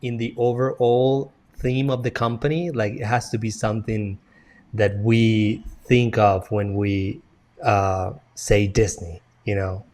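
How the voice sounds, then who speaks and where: A man from Colombia with a Colombian Spanish accent, a podcast panelist talking casually with halting restarts. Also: lowish voice, little pitch movement, a little mellow.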